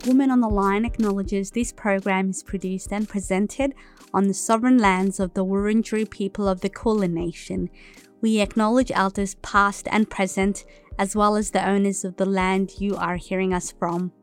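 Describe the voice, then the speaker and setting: A woman hosting a community radio current affairs show, presenting a scripted introduction and asking clear questions. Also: medium pitch, steady tone, normal speed, soft background music. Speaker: radio host.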